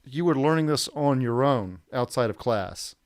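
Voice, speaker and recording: Smooth-voiced male co-host, relaxed. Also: mid-range voice, moderate pace, no room sound, studio quality. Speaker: podcast co-host. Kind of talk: casual interview chat.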